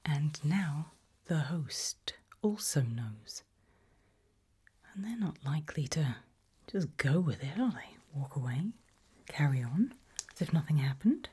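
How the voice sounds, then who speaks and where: A woman whispering a medical exam roleplay in ASMR style, breathy and hushed, slow, deliberate and gently coaxing. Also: low voice, sing-song. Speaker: ASMR performer playing an examiner.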